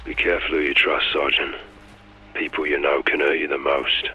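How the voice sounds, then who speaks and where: An actor playing a stern special forces lieutenant in a video game, terse and deadpan with dry humour. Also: male voice, very deep voice, normal speed, husky, lo-fi sound, heavily acted. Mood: guarded. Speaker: military officer character. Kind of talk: video game radio dialogue.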